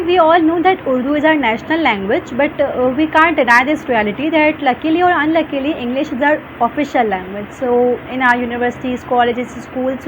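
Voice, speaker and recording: A woman's voice: high voice, highs cut off. Earnest Pakistani woman teacher, noisy recording. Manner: earnest, many fillers, list-like delivery. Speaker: online English teacher. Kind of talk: course introduction.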